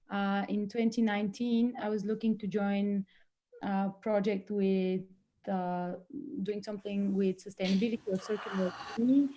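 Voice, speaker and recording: A woman introducing herself on an online panel, in a measured, hesitant way with many fillers. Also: mid-range voice, dark tone, muffled recording. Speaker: panel guest, startup co-founder.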